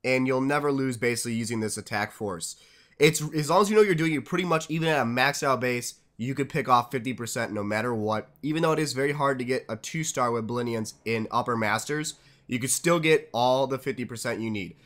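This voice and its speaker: A young man with a stuffed-up, congested voice talks through his strategy game replays at a middle pitch. He keeps it casual and chatty, dropping tips and upbeat asides as a channel host. It sounds like a home desk-mic voice-over. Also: a little fast, fairly bright tone.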